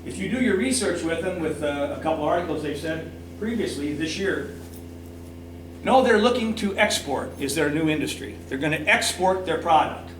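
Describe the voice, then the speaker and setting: A man's voice: a little high, animated, normal speed. A man giving impassioned, emphatic public comment, repeating points for stress. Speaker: citizen speaker at a public council meeting. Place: indoor council meeting room. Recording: echoey meeting room, distant mic, noisy hum.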